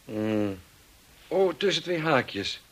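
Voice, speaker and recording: An actor in a Dutch radio drama playing the lordly, irritable master of a manor, brisk and authoritative with staff and wife. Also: male voice, mid-range voice, sing-song, husky, heavily acted. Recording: hissy old radio play recording.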